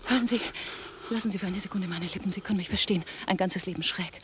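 An actress playing an intense, restless woman in a radio drama, speaking hushed and breathily. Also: medium pitch, quick pace, background noise, close to an everyday voice. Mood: fervent, anguished. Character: intense, restless young woman scheming with lover. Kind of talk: German radio drama acting.

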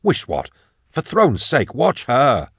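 A man's voice: on the low side, animated, normal speed, a bit bright, breathy edge, dry recording, studio quality. A man reading the part of a brooding, impatient man in an audiobook, clipped and commanding. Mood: distracted, insistent. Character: curt, brooding, impatient man, a customer of authority.

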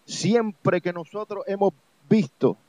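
A man's voice: sits high, slow, a little mellow, dry recording. A man with a Puerto Rican accent preaching a sermon as an evangelist, exhorting listeners with insistent, repeated phrases. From Puerto Rico. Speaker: evangelist preacher on a religious program. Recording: dull, lo-fi, dry sound.

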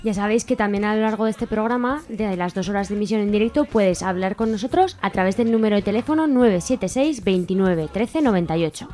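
A woman's voice: mid-range voice, expressive, fairly quick, few pauses, warm tone. Spanish lady co-host on live radio reading out announcements, bit of music underneath. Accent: Spain. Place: radio studio.

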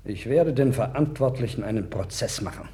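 A man's voice: medium pitch, animated, a little fast, warm tone, close to an everyday voice. An actor playing a troubled, wavering king of ancient Judah in a formal 1960s radio play style. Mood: agitated. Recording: worn vinyl record with clear noise.

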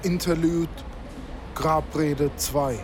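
An actor playing an announcer who reads out track titles in a radio drama. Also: male voice, fairly high pitch, fairly even intonation, extremely slow, darkish tone, slight background noise. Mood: deliberate, formal.

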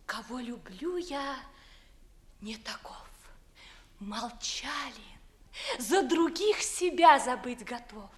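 An actress playing a dreamy, haughty young noblewoman in love, in a classical verse comedy, with a genteel, measured, theatrical delivery. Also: a little high, lively intonation. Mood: dreamy, tender. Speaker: stage actress. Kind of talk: classical verse comedy acting, 1950s audio play.

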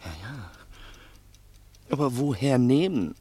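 A man's voice: medium pitch, animated, slow pace, warm tone, husky, no room sound. An actor playing a nervous, resentful man in a German radio crime drama, agitated and insistent, repeating himself. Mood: anxious, subdued. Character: nervous, resentful man, bullied underling turned armed accuser.

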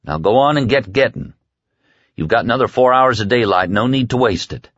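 A man's voice: mid-range voice, animated, a little fast, fairly bright tone, dry recording, highs cut off, pitched above the performer's own voice. A man voicing an injured, stoical man in an audiobook, plain-spoken and commanding as he orders friends onward. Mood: resolute.

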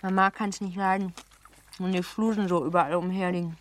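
A woman acting a lively, playful, well-born girl of seventeen in a German radio play, chatty and teasing. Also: on the low side, brisk, slight background noise, lightly acted. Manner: chatty, teasing, self-assured.

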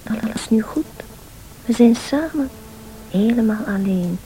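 An actress playing a weary, wounded, quietly controlling invalid wife, softly and deliberately, in a radio thriller. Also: female voice, a little high, animated, a little hiss or hum, poor audio. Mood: soothing, quietly controlling.

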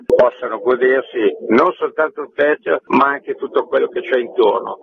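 Phone-band sound, man, gravelly fry, middle pitch, enthusiastic promotional radio interview guest. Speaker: festival director and filmmaker, interview guest. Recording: phone-like line with faint noise.

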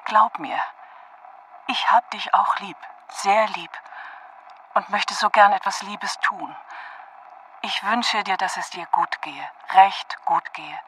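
A woman playing a shy, tender letter writer in a radio drama, speaking softly, intimately and with hesitation. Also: fairly low pitch, a little hiss or hum, poor audio.

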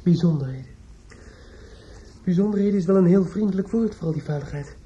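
A man's voice: high voice, a little fast, dark tone, close to an everyday voice. An actor in a radio play playing a bitter, grieving younger man, formally polite but pointed and reproachful. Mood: indignant. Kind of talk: Dutch radio play acting. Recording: dull old radio recording.

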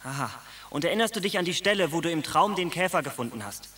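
A clever, scheming dream interpreter in a radio play, his voice bright and fairly high. The recording has a roomy echo. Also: lively intonation. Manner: brisk, scheming, indignant.

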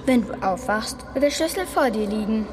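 A woman's voice: fairly high pitch, lively intonation, moderate pace, noisy background, lightly acted. A woman playing a mysterious, bossy little girl with witchlike self-assurance in a German radio drama. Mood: insistent.

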